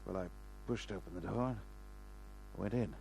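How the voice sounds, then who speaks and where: A man's voice: low-pitched, vocal fry, a little mellow, soft background music, rough recording. An actor playing a wounded, desperate man on the run, stammering weakly in an old radio crime drama. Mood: weary, halting. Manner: halting, stammering, pleading. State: weak, in pain.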